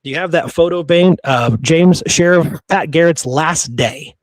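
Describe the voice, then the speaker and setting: A man's voice: fairly high pitch, measured pace, no room sound, clean studio sound. A man hosting a history show, telling stories conversationally from his research notes with casual, amused asides.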